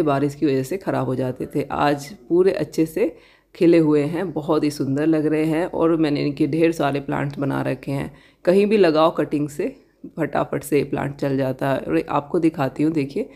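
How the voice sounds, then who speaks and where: Hindi-speaking woman giving a garden tour, low rasp. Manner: gentle, explanatory, points things out to viewers. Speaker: garden vlogger. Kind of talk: casual garden tour with tips. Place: outdoor balcony garden.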